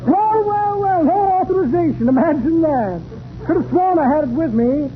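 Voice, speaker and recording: A grandiose male huckster in a 1930s radio comedy. The voice is very high for a man and rolls out flowery, rambling sales patter. It comes through a tinny, noisy old broadcast recording. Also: animated, normal speed. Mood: blustering, sly. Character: pompous, wordy old-style male con man and salesman.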